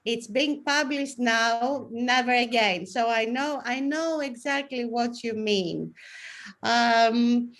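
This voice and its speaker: A woman's voice: fairly high pitch, slow pace, a bit bright. A middle-aged woman with a Greek accent giving candid, self-mocking advice on a video call. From Greece. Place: room at home, indoors.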